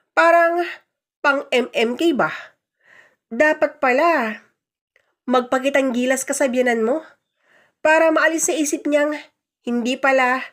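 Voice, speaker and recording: Nosy, high-voiced male drinking buddy, done by a woman. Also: fairly bright tone.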